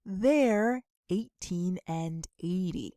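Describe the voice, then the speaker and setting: A woman teaching a beginner English lesson, deliberately enunciating and repeating model questions and answers in an instructional way. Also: lowish voice, mellow, no room sound, studio quality.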